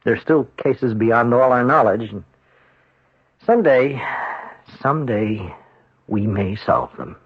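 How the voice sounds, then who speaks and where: An actor playing a grave, thoughtful psychiatrist in a radio drama, speaking in a measured, reflective way. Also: male voice, mid-range voice, husky. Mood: earnest, resigned. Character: grave, thoughtful psychiatrist, patient and world-weary. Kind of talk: 1970s radio drama acting. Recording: dull old radio recording, dry.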